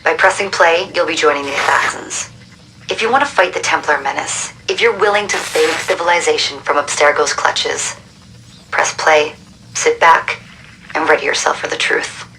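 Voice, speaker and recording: An actress playing a confident resistance recruiter in a video game, briefing the player in a direct, persuasive, urgent way. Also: female voice, low-pitched, normal speed, bright, forceful tone, slight background noise, rough recording.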